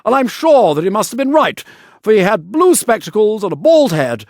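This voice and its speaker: A conceited storybook water rat, played by a man, pompous and sure of every opinion. The voice is pushed high and swings about in pitch. The sound is dry. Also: big pitch swings, normal speed, no room sound. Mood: self-satisfied. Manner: pompous, know-it-all.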